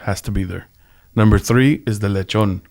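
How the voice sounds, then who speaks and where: A man's voice: lowish voice, normal speed, gravelly, darkish tone, a little hiss or hum. A man co-hosting a radio show, chatting casually and listing things with enthusiasm. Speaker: co-host.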